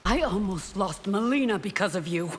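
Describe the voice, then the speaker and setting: A woman playing a proud, devout and fierce bodyguard warrior in a video game, speaking in a formal, defiant, measured way. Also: mid-range voice, expressive, lightly acted. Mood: defiant, stern. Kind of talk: video game character acting. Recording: long reverb, faint noise behind.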